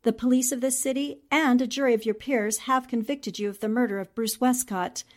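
A woman's voice: on the high side, fairly even intonation, fairly quick, darkish tone, natural voice. An actress playing a determined, composed newspaperwoman who probes with sympathy, in 1940s-style radio drama. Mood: resolute.

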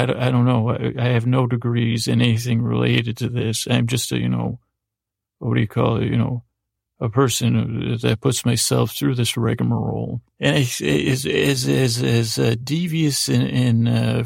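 A man's voice: mid-range voice, dry recording, studio quality. A man hosting a podcast in a rambling, informal bedtime monologue, hesitant and self-deprecating, often stammering and circling back. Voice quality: gravelly, creaky. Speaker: podcast host.

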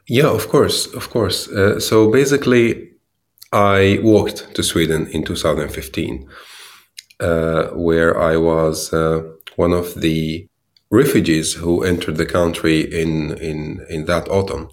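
A man from Syria, a startup founder in his thirties, answering interview questions with many pauses and restarts. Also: low voice, steady tone. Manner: hesitant, many fillers and restarts.

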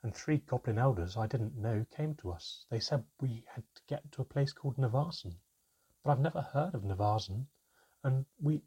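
A man's voice: on the high side, sing-song, moderate pace, vocal fry, hardly any pauses, warm tone, slightly rough, pitched above the performer's own voice, exaggerated voice. A man voicing a naive young goblin from a storybook, curious and earnest, with an eager, emotional way of speaking. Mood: sad, pouring out.

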